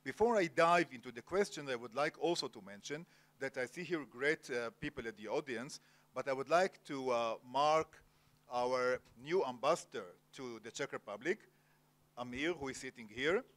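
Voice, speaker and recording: A man's voice: medium pitch, fairly bright tone. A man moderating an onstage literary interview, speaking formally with many hesitations. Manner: formal, many filler pauses. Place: cultural center event hall, Jerusalem.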